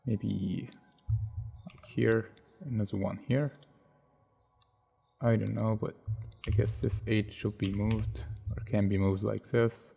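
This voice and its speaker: Gravelly low-voiced man thinking aloud. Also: steady tone, warm tone. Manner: unhurried, thinks aloud step by step with many hesitations; non-native English phrasing. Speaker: software tutorial instructor. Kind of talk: narrating a screen-recorded modeling tutorial.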